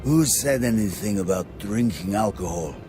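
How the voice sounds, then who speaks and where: Actor as gruff, low-voiced, prickly ship's porter. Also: male voice, expressive, moderate pace, music in the background, lightly acted. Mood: defensive. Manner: gruff, defensive, indignant. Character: defensive, prickly working man, a tipsy ship's porter. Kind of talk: scripted game dialogue.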